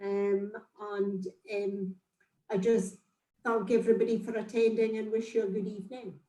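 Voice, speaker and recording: A woman's voice: medium pitch, little pitch movement, mellow. A woman chairing a committee meeting on a video call, politely wrapping up with thanks. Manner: polite, brisk wrap-up. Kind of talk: closing remarks on a video call. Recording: roomy echo on call audio.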